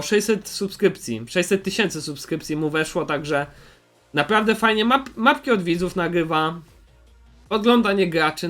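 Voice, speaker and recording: A man's voice: high-pitched, fairly bright tone. A young man giving casual, unscripted recommendations in a rambling, hesitant, repetitive way, like a YouTuber talking to viewers. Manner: rambling, hesitant, repeats himself.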